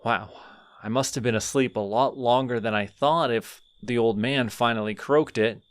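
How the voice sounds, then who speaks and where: A man's voice: medium pitch, normal speed, clear, resonant tone, dry recording, natural voice. A man voicing a friendly, chatty male skeleton who rambles sheepishly in an audio roleplay. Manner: rambling, sheepish, talks to himself.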